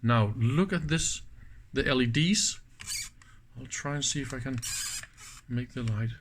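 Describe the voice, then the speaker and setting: A man presenting a casual hands-on demonstration, speaking in halting pieces and often checking in with short questions. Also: medium pitch, slight background noise. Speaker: presenter of electronics teardown videos.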